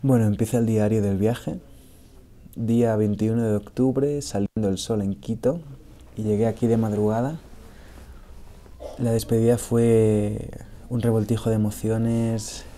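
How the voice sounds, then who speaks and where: A young man from Spain with a Barcelona Spanish accent, telling his travel diary in a calm, thoughtful and personal way. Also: on the low side, a little hiss or hum. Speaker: travel vlogger. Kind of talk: reflective travel diary narration. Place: small bedroom in a hostel.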